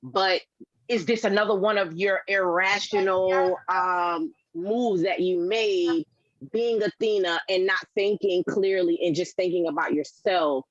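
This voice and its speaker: Low-voiced woman, dry muffled audio. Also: steady tone, a little slow, no echo. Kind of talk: casual feedback discussion.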